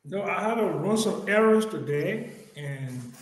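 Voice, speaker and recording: Echoey room, older male panelist, slightly high pitch, subdued casual delivery. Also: lively intonation, a little slow. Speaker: talk show panelist. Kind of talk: casual chat.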